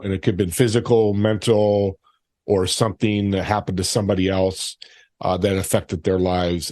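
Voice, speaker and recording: A man's voice: fairly low pitch, darkish tone, dry recording, studio quality. A man answering interview questions about his work, plain-spoken and often talking in short lists. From United States. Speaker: podcast host being interviewed.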